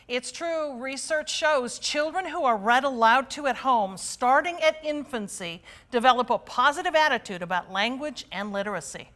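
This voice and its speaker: A woman's voice: sits high, animated, normal speed, fairly bright tone. A woman reporter presenting a scripted news feature to viewers.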